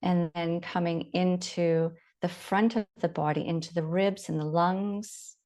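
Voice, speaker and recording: A woman leading a guided body scan meditation, giving gentle, unhurried instructions with long pauses. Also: fairly low pitch, fairly even intonation.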